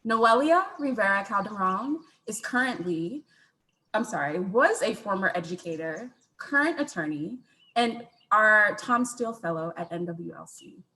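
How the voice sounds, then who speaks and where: A woman's voice: medium pitch, lively intonation, unhurried, sharp tone, low-quality recording. A woman emceeing an event who introduces the next speaker. She gushes and laughs often. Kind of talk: hosting a virtual launch event.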